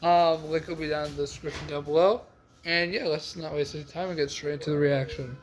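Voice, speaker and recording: Guy reacting to game clips, kinda higher voice, rambly n hesitant, bit of noise on the mic. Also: fairly even intonation, a little fast. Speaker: reaction video host. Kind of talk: casual commentary reacting to game voice lines.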